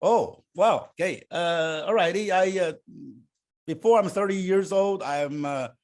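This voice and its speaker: An older man born and raised in Taiwan, speaking English as a second language and answering interview questions in a halting way, with many fillers and restarts. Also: high-pitched, a little mellow. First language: Chinese. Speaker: guest.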